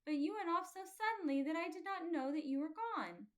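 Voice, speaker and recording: A woman voicing a quiet, thoughtful young pilgrim boy in a children's storybook, speaking earnestly and plainly. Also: very high-pitched, lively intonation, fairly quick, pitched above the performer's own voice. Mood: earnest, chatty.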